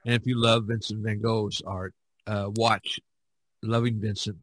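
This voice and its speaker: A man hosting a podcast in casual chat, rambling and halting, often repeating his words. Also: fairly low pitch, little pitch movement, no echo. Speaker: podcast host.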